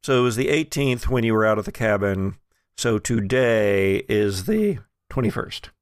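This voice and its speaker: A man running a tabletop roleplaying game, improvising narration and rulings with a halting, searching delivery. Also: fairly low pitch, expressive, clear, resonant tone, dry recording, studio quality. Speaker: game master.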